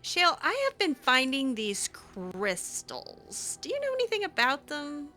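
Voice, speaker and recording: A woman improvising in-character dialogue as she plays a roleplaying game, halting and repeating words. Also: sits high, wildly swooping pitch, bright, forceful tone, soft background music.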